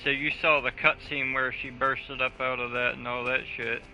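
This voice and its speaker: Bright, forceful, sweary male gamer. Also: mid-range voice, level tone, moderate pace. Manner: sweary, blunt, joking. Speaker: game streamer. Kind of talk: casual gaming chat. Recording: dull lo-fi stream audio, faint music.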